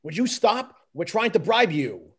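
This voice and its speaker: A man playing an exasperated, wisecracking plumber in an amateur script read-through, griping sarcastically in a husky, gravelly voice. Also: sits high, sing-song, unhurried, fairly bright tone, full character voice. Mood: urgent. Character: exasperated, wisecracking plumber, complaining older brother.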